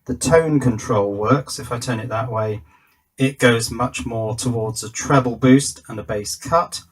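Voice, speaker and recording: A man's voice: mid-range voice, measured pace, husky. A man giving a casual hands-on electronics demonstration, thinking aloud and talking to himself as he works. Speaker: electronics hobbyist presenter.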